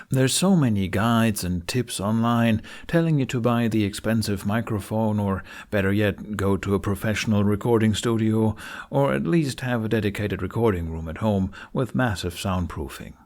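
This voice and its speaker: A man's voice: on the low side, darkish tone. A man narrating a tutorial voice-over in a frank, conversational manner, recorded at home on a USB microphone. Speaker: tutorial presenter. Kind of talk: informal scripted tutorial voice-over. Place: living room, home recording. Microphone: budget USB microphone on an arm.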